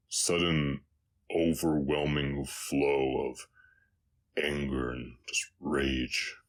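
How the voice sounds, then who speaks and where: A man voicing a brooding, remorseful orc cowboy outlaw with a slight Southern US accent, speaking haltingly and somberly in an intimate confessional roleplay. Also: rumbling low, full character voice. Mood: somber, heavy. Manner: halting, somber, long pauses. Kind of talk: intimate scripted roleplay audio, confessional storytelling.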